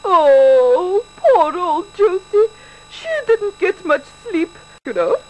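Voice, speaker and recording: A chirpy old granny for a children's show, with a very high, lively, bouncing voice. She is fond and fussy, often opens with a soft "oh", and breaks into sing-along songs. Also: takes it slowly, full character voice, low-quality recording. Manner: sing-song, starts lines with "oh". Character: cheerful chatty old grandma, fond and fussy. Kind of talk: children's TV singalong.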